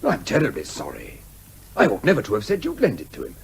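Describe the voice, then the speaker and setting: An actor playing a dutiful, apologetic young English son in a radio comedy, polite, diffident and hesitant. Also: male voice, fairly low pitch, wildly swooping pitch, fast talker, vocal fry, slightly rough, full character voice. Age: young adult. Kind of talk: radio comedy drama acting.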